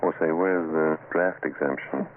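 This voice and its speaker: A man's voice: rumbling low, steady tone, normal speed. An actor playing a wry young husband and father, teasing in a dry, casual way, in old-time radio drama style. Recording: thin, phone-like old radio recording, faint noise.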